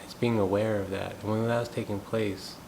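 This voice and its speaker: Brief: thirties male, from the US, musing monologue, flat delivery, rumbling noise in the background. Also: vocal fry. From United States. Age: 30s. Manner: thoughtful, unhurried, musing aloud. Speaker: gong practitioner sharing thoughts. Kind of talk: reflective personal monologue.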